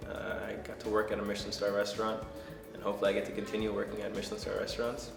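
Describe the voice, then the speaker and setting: A man's voice: lowish voice, completely flat delivery, very fast, vocal fry. A young man from Jamaica, a culinary student and chef talking earnestly in an interview testimonial, with frequent ums. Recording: echoey room with background music.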